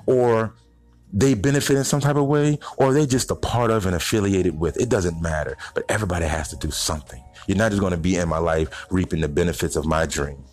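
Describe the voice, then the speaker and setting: Deep-voiced man podcasting over faint music. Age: 30s. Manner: direct address to listeners, slangy, rhetorical questions. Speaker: podcast host. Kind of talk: informal motivational solo podcast talk.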